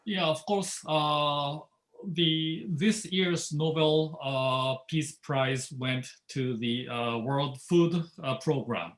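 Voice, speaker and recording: A male professor speaking as a panelist in an online discussion, deliberate and hesitating with "uh". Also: fairly high pitch, fairly bright tone, low-quality recording.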